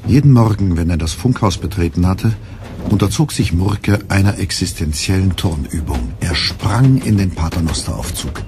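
An actor narrating a literary satire in a measured way for a radio play. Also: male voice, sits low, expressive, over music.

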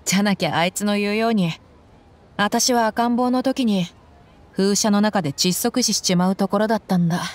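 A woman voicing a tough, blunt, warm-hearted young female mercenary with tomboyish casual speech in an anime-style game. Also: medium pitch, normal speed, lightly acted. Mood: exasperated.

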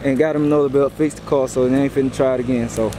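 A man's voice: mid-range voice, steady tone, very fast. A man with a Southern American accent giving casual, informal updates straight to viewers as host, repeating himself as he goes, outdoors at a drag strip. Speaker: host filming drag racing. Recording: loud engine noise outdoors.

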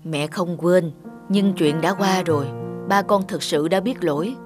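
An actress playing a gentle, forgiving middle-aged mother in a cartoon drama, with a soft, imploring manner. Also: fairly low pitch, a little slow, close to an everyday voice. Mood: pleading, sorrowful. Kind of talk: animated drama dubbing. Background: music.

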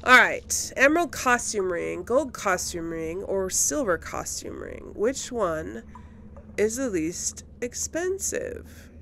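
Unhurried woman musing over a video game. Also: medium pitch, sing-song, creaky, pushed and bright, a little hiss or hum. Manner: musing, self-questioning. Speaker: let's play host. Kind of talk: casual gameplay commentary, thinking aloud.

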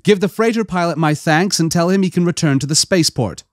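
A man voicing a sharp, commanding young female Imperial agent who speaks curtly and imperiously, in an audiobook. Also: a little high, fast, no room sound, studio quality, pitched above the performer's own voice, natural voice. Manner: curt, imperious.

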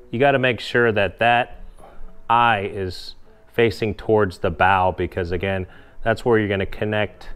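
A man casually walking viewers through a step-by-step install in a garage workshop, talking straight to them. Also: fairly low pitch. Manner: relaxed, explains step by step, addresses viewers directly. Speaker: kayak fishing vlogger. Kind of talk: casual hands-on tutorial.

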